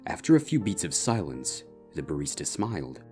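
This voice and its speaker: A man's voice: rumbling low, very animated, moderate pace. A man reading a scripted story as its narrator. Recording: music bed behind the voice. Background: soft instrumental guitar music.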